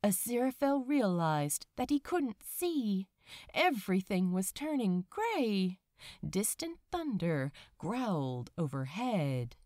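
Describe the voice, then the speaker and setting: A woman's voice: mid-range voice, big pitch swings, slow, darkish tone, no echo, clean studio sound. A woman narrating a story dramatically, with an emotive, theatrical delivery. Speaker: narrator of fan fiction audiobook.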